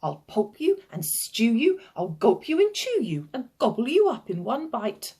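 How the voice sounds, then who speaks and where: A woman's voice: a little high, wildly swooping pitch, unhurried, warm tone, husky, exaggerated voice. A woman voicing a grumpy old male giant, greedy and menacing, chanting in rhyme for a children's storybook. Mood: menacing, gloating.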